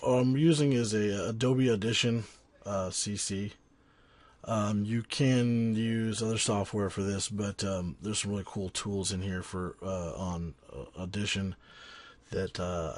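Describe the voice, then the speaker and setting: Raspy-voiced man with a cold. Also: on the low side, a little mellow. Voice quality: husky. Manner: rambling, lots of ums and restarts, offhand. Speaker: sound engineer teaching a tutorial. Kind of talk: casual screen-recorded software tutorial.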